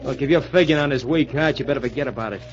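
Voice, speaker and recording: An actor playing a cocky, boastful dancer and schemer in an old radio drama, cajoling in slang. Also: male voice, mid-range voice, very fast, slight background noise, lightly acted. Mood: boastful, persuading. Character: cocky, slangy male dancer, smooth-talking ambitious schemer. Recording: muffled old radio recording, loud music behind.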